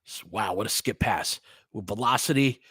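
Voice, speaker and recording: A man's voice: on the low side, moderate pace, sharp tone, breathy edge, no room sound, clean studio sound. A man doing casual live basketball commentary, switching between clipped play calls and conversational analysis of the game.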